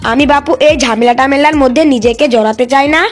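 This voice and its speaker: A woman's voice: high-pitched, brisk, soft background music, lightly acted. A woman voicing a wary, sceptical young animal in a fairy-tale cartoon, with a cautioning manner. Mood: cautious bargaining. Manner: cautioning, bargaining.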